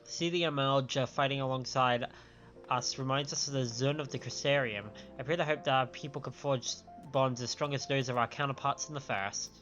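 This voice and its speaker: A man streams a game over clear background music, his sound a little dull and lo-fi. He reads the dialogue aloud, tripping over words as he goes, and adds his own reactions. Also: mid-range voice, a little fast, a bit bright. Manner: stumbles over words when reading aloud.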